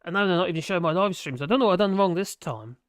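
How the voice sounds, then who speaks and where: A hoarse live streamer, rambling in casual chat to viewers and making fun of themselves. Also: medium pitch, animated, rapid-fire, dry recording, studio quality. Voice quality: rambling, self-deprecating.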